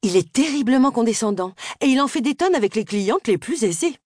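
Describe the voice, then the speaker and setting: An effusive young village woman, quick to gossip and quick to take offence, voiced by a woman narrator. Her voice sits higher and swings widely through her lines. It has a soft, breathy edge. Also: animated, dry recording, studio quality. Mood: gossipy. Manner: effusive, indignant. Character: gushing young single mother, village secretary.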